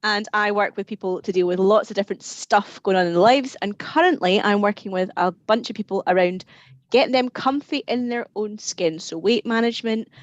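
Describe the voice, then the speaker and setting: A woman's voice: mid-range voice, brisk, no echo, lo-fi sound. Chatty woman in a dry room. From Scotland. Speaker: transformational coach.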